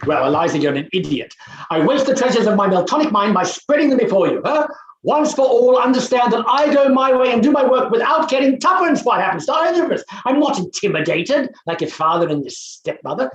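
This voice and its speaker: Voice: mid-range voice, lively intonation, brisk, highs cut off, natural voice. An actor playing an arrogant, overbearing middle-aged bachelor professor in a theatrical play reading, pompous and scornful, with a roomy, echoing sound. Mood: pompous, dismissive.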